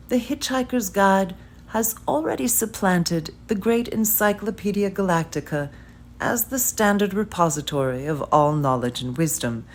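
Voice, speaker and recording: A woman giving a scripted literary narration, reading a story in measured, deliberate phrases with pauses. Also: on the low side, darkish tone.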